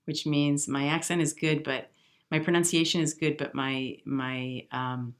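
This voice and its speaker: A woman's voice: deep voice, steady tone, a little slow. A woman in her fifties from the US Midwest, a jazz singer telling her story in an interview, reflective and earnest. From Milwaukee, US Midwest. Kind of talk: conversational interview.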